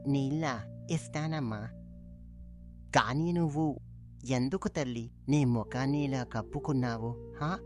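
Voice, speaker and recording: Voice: medium pitch, unhurried, pauses often, close to an everyday voice. An actor plays a kindly elder from a cartoon moral tale. The figure is comforting and sheltering. Music plays behind the voice. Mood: tender. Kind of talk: cartoon moral story dialogue.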